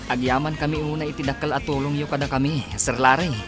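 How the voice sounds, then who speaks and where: A man's voice: a little high, level tone, quick pace, fairly bright tone, faint music behind, lightly acted. A man with an Ilocano accent playing a deferential, shy young construction worker in a village radio comedy drama. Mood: respectful.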